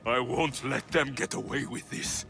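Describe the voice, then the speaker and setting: An actor playing a vengeful, protective young Greek warrior and sea captain in a video game cutscene. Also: male voice, medium pitch, measured pace, vocal fry. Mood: resolute. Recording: loud music behind.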